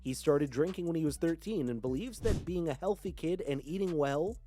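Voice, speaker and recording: A man narrating a scripted video in an even, read-aloud delivery. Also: fairly high pitch, normal speed. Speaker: channel narrator.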